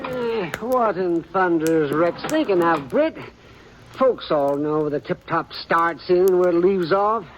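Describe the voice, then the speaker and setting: An actor in a 1950s radio western playing a chatty, gossipy old printer with a folksy drawl. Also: male voice, high voice, animated, measured pace, slight background noise, rough recording. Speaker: small-town newspaper printer. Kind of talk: 1950s radio drama acting.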